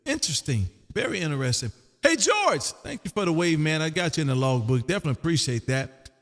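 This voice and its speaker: Higher-voiced man with long reverb. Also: very animated, quick pace, fairly bright tone. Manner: curious, chatty, informal. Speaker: livestream host, amateur radio operator. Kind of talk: casual radio chat. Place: radio room.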